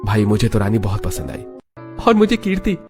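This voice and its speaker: A man's voice: on the low side, big pitch swings, brisk, soft background music. A man voicing a polite, smitten young suitor in a Hindi cartoon story, earnest and eager.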